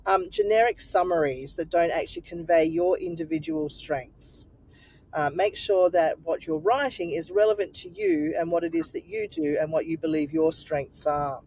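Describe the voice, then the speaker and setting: A woman guest giving career advice on a webinar, brisk and blunt as she runs through a list of mistakes. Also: on the low side, lively intonation, darkish tone, slight background noise, low-quality recording. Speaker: recruitment guest.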